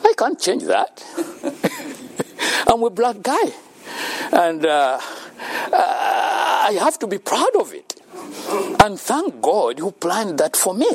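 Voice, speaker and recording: A man speaks slowly and deliberately. His voice sits a little high and moves lively up and down as he makes earnest points about faith, with frequent laughter between his remarks. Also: crowd noise. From Uganda. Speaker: speaker at a children's home. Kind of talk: faith-based motivational talk.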